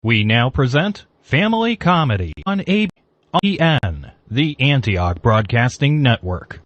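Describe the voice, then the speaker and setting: A man's voice: mid-range voice, big pitch swings, a little slow, a bit bright, dry recording. A man reading scripted announcements as a radio announcer. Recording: slightly dull, dry radio audio.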